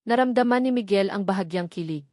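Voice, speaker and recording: A woman's voice: mid-range voice, fast, a little mellow, no echo, studio quality. A woman reading a scripted romantic drama as a story narrator. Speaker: narrator of an audio love story.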